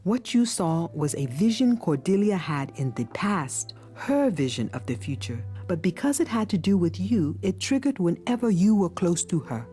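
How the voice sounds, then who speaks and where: Gentle wise older lady from a game, mellow and soft, measured solemn talk, lots of rise and fall. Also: fairly low pitch, very animated, soft background music. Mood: grave, explanatory. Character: wise, gentle older woman mentor who reads minds. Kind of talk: dramatic video game acting.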